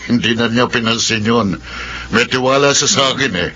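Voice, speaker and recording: A man's voice: medium pitch, normal speed, pushed and bright, close to an everyday voice. An actor playing a boastful father who scolds and refuses requests for money. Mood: gruff. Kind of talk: radio drama acting. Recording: dull lo-fi sound with faint noise.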